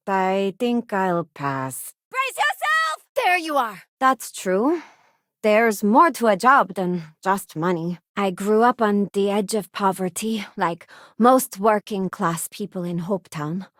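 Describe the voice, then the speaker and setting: A woman's voice: medium pitch, a bit bright, no room sound. A young working-class woman aboard a starship in a science fiction game. She is principled and self-assured, with an earnest, plain-spoken delivery. The sound is dry, with no room around the voice. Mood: urgent, combative. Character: principled working-class woman spacefarer, confident, idealistic crew companion. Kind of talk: video game companion voice lines.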